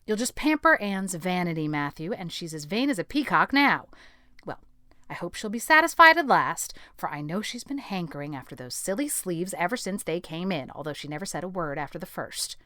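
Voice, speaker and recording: A woman's voice: lowish voice, animated, a little fast, sharp tone, no echo, studio quality. A woman playing a stern, thrifty middle-aged spinster who speaks in a tart, disapproving way. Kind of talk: audiobook character acting.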